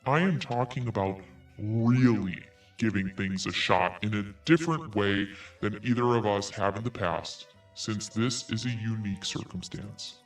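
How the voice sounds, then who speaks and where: A man's voice: lowish voice, a little slow, recorded from a distance, soft background music. A man podcast host reading an email aloud in a theatrical, emphatic way. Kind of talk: dramatic read-aloud of an email.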